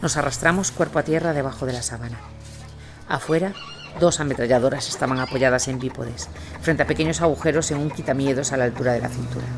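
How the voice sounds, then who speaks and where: A man's voice: mid-range voice, fast. A man reading a scripted first-person war account as its narrator. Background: music.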